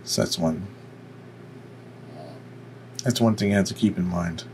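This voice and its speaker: A man with a deep voice giving an informal, explanatory software tutorial. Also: unhurried, slight background noise. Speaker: tutorial presenter.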